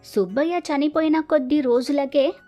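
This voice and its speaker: A woman narrates a moral folk tale from a script. Her voice is very high, and music plays softly behind her. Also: normal speed.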